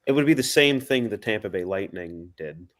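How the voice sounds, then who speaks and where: Brief: male host, lowish voice, stop-start questions. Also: expressive, moderate pace, a bit bright. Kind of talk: casual chat.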